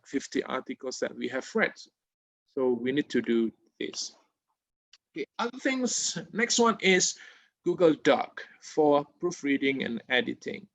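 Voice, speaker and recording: A man whose first language is Japanese walks students through a tutorial on a video call, his voice a little gravelly and recorded dry and close. He talks hesitantly, with many fillers and restarts along the way. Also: mid-range voice, fairly bright tone, dry recording, rough recording. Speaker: webinar presenter. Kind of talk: screen-share tutorial. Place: indoor room at home. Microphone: laptop mic on video call.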